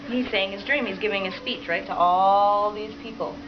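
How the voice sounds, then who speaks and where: A woman with a medium-pitched voice reads a picture book aloud to children. She is encouraging and often checks in with questions. The recording is dull, with clear background noise. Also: moderate pace. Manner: encouraging, repeats and expands children's answers, frequent checking questions. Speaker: teacher modeling a read-aloud to children.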